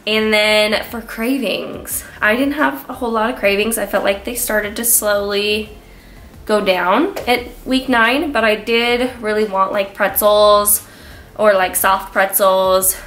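A woman's voice: medium pitch, steady tone, slow pace, fairly bright tone. A young woman with a stuffy, congested voice, chatting casually to camera as a vlogger and filling her talk with "like". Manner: chatty, lots of filler "like". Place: small room indoors. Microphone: camera on a tripod, framed from the waist up.

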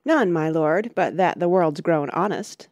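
A woman's voice: fairly low pitch, animated, a little slow, a little mellow, dry recording, clean studio sound. A woman playing a courteous male courtier, friend to a prince, in a Shakespearean dramatic reading.

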